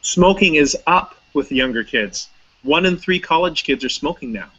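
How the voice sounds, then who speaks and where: A man's voice: a little high, lively intonation, normal speed, sharp tone, husky, dull audio. A man talking casually as an interview guest, chatty and anecdotal, with many fillers and restarts. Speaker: hypnotist, guest.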